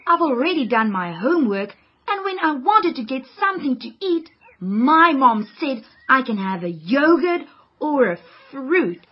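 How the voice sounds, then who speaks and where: A woman's voice: high voice, very animated, measured pace, breathy edge, muffled recording, exaggerated voice. A woman voicing an earnest, studious young girl who loves homework and avoids exercise. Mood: sulky.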